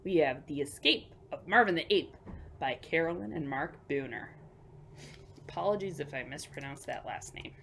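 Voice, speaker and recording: A woman hosting a children's storytime, reading picture books aloud with emphatic delivery and hushed asides. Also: sits low, lively intonation, moderate pace, gravelly, slight background noise. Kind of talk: picture book read-aloud for young children.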